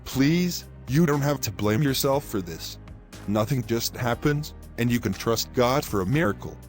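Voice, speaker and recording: A man's voice: medium pitch, animated, normal speed, lightly acted. Guy playing a serious apologetic doctor in a story drama, careful delivery, bit of music behind. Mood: consoling. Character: grave male doctor breaking bad news. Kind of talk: scripted moral-story drama.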